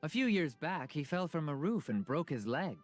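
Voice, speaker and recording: A man's voice: a little high, very animated, normal speed. A man playing a friendly, easygoing merchant in a fantasy video game. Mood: reassuring. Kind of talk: scripted game character dialogue. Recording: faint music behind.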